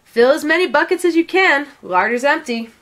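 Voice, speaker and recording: A woman's voice: high voice, expressive, moderate pace, fairly bright tone, voice pushed up. A woman voicing a practical, encouraging country father from a storybook in a plain, instructive manner. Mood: urging.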